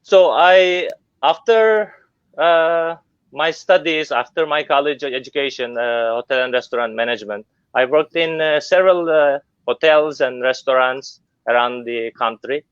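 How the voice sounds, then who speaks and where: Middle-aged Turkish man, non-native English, halting, smooth resonant tone, dull lo-fi audio. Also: fairly high pitch, no room sound. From Turkey. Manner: hesitant, many uh fillers and restarts. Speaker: guest business owner. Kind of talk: online interview. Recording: dull lo-fi sound.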